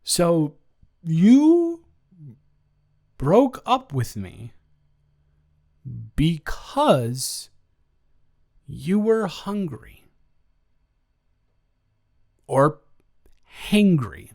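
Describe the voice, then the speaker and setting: A man's voice: fairly high pitch, sing-song, extremely slow, pauses often, darkish tone, exaggerated voice. A man playing an earnest, flustered ex-boyfriend in an intimate improvised audio roleplay, stammering and trailing off as he talks. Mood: incredulous, hopeful.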